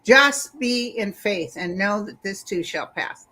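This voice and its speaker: A woman co-hosting a live chat, telling lively stories and quoting others. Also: mid-range voice, measured pace. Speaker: co-host. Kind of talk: casual chat on a live stream.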